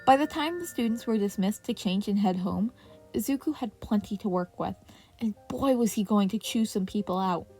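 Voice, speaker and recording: A woman's voice: mid-range voice, lively intonation, moderate pace. A woman reading scripted fiction aloud as a podfic narrator. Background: soft piano music.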